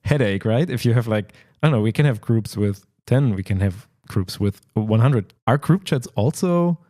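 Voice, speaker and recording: A man's voice: fairly low pitch, expressive, normal speed, mellow, dry recording, studio quality. A man hosting a conversational podcast interview, inquisitive and recapping things in plain words. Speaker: podcast host.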